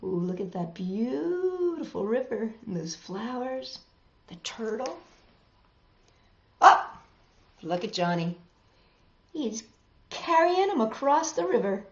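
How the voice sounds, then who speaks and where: Lady doing kids storytime, highish voice that swoops around, echoey room. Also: slow, long pauses. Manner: expressive, exclaiming, lingering on words. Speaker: storytime host reading picture books aloud. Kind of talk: animated children's read-aloud with chatty asides. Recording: dull, echoey room recording.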